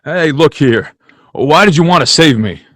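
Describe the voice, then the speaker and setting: Agitated husband at the end of his rope, male actor, emotional radio-play delivery, slightly high pitch. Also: lively intonation, normal speed, fairly bright tone, dry recording, clean studio sound. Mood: dejected, bewildered. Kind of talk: old-time radio play acting.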